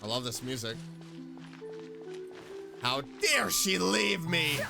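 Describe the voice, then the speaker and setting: A man's voice: fairly high pitch, very animated, takes it slowly, gravelly. A man giving casual live reaction commentary as a game streamer. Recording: game music behind the voice.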